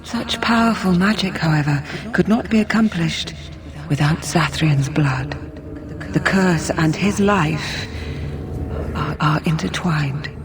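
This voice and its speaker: A woman playing an ancient, compassionate female forest spirit in a fantasy video game, speaking in a measured, solemn way. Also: lowish voice, crowd noise.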